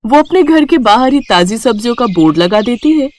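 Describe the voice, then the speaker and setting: A woman's voice: fairly high pitch, expressive, brisk, a little mellow. A woman reading scripted storybook narration for a cartoon moral tale.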